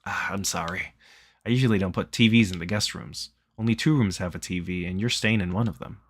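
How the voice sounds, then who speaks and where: A man voicing a polite, apologetic male ski lodge owner in a visual novel, measured and courteous. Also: low-pitched, husky.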